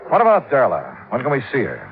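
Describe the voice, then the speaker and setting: Wry radio detective, swooping delivery. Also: male voice, mid-range voice, huge pitch swings, fast. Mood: probing, firm. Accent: American. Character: male insurance investigator, hard-boiled, quick, wry. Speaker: lead actor and narrator. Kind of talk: 1950s radio detective acting. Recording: tinny vintage radio recording, music behind.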